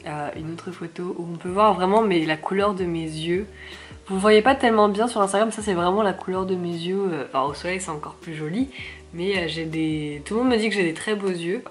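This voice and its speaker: A young woman vlogging from her living room, hoarse from a cold, chatty and self-mocking with lots of fillers. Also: fairly low pitch. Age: young adult. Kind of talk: casual vlog chat to camera.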